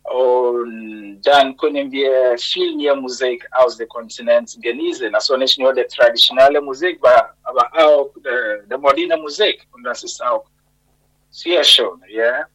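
Casting: musician in his 30s from Ghana, relaxed radio guest, mid pitch, slightly muffled. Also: unhurried. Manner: relaxed, repeats words, simple German with slips. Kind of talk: radio interview. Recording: dull lo-fi sound.

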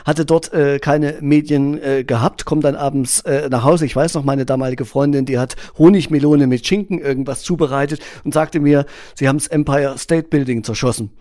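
A man hosting a radio show, asking questions and telling a relaxed anecdote of his own. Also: fairly high pitch, normal speed, few pauses, no room sound, studio quality. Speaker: radio host. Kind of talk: casual radio interview.

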